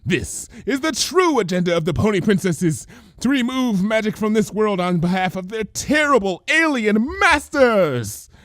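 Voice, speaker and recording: A man's voice: high voice, sing-song, normal speed, a bit bright, voice pushed up. A man voicing a theatrical, unhinged young female pony conspiracy zealot who proclaims everything dramatically. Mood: ominous, dramatic.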